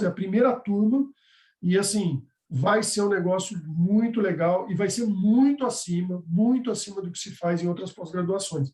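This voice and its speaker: Instructor, male, high-pitched, conversational explainer, echoey room. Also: a little mellow. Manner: conversational, explaining step by step with frequent "tá?" checks. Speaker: course instructor. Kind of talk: live online class with course announcements.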